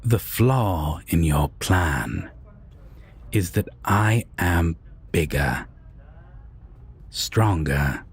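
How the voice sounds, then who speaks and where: Very slow, husky, menacing vampire. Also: male voice, low-pitched, sing-song, full character voice. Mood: cold taunting. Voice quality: breathy, gravelly, half-whispered. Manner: slow, deliberate, taunting, with long pauses. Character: sinister possessive male vampire villain, dominant and menacing. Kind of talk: roleplay audio drama.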